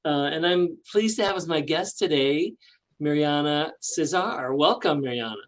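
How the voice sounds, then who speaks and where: A man's voice: a little high, normal speed. A man hosting a radio talk show, asking his guest questions in an easygoing way. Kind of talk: interviewing a guest.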